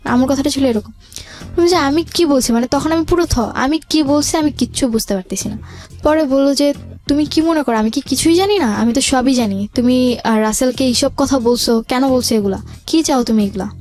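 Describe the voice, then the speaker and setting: A woman's voice: sits high, brisk, a little mellow, soft background music. A woman with a Bangladeshi accent tells her own life story in a radio interview, quoting conversations and mixing in English words, in a voice altered to hide her identity. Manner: quotes remembered conversations, mixes in English words.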